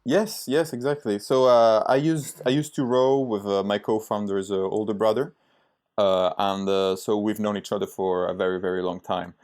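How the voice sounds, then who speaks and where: Young man, low-pitched, hesitant casual interview guest. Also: steady tone, normal speed, a little mellow. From Italy. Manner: hesitant, many fillers and restarts. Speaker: startup founder, podcast guest.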